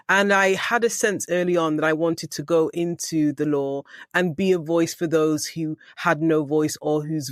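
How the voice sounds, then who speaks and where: A woman's voice: lowish voice, no room sound. The seasoned English woman guest on a leadership podcast, reflecting on her life carefully and articulately in a dry, close recording. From Manchester, England. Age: middle-aged. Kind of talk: reflective personal storytelling in an interview.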